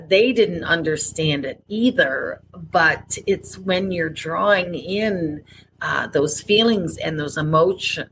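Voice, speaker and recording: A woman's voice: low-pitched, a bit bright, a little hiss or hum. A woman co-hosting a podcast in casual chat, stuffy with sniffles and talking in a rambling way with restarts. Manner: rambling, chatty, frequent restarts. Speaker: podcast co-host.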